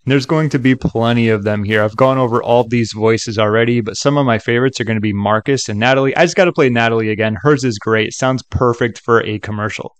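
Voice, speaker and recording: A man giving a chatty, upbeat, casual software walkthrough as a tutorial presenter. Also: mid-range voice, fast talker, hardly any pauses, dry recording. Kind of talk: casual screen-recording walkthrough.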